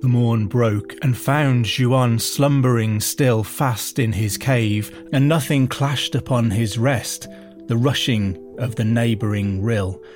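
A man giving a scripted reading in a measured delivery. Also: mid-range voice, a little mellow. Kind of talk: poetry reading and scripted podcast promo.

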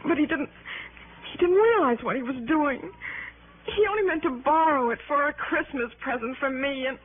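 Actress as anxious young wife: high, pleading, halting voice, melodramatic vintage radio drama, tinny broadcast sound. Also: female voice, lightly acted. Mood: earnest, defensive. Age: young adult. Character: frightened, earnest young wife of a convict. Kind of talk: 1960s radio drama acting. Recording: tinny 1960s radio broadcast, faint noise.

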